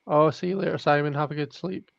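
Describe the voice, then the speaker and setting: A man's voice: fairly high pitch, fairly even intonation, quick pace, gravelly, no room sound. A man hosting a live tarot stream, thinking aloud in casual chat with many restarts. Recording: dull lo-fi audio.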